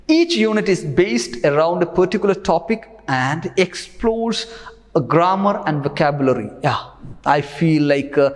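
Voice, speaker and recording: A man in his thirties giving a recorded English lecture in front of a screen, gesturing a lot and pausing with many fillers, on a lapel mic. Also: a little high, in a large hall. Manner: animated, gesturing with both hands, many fillers. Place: studio in front of a large presentation screen.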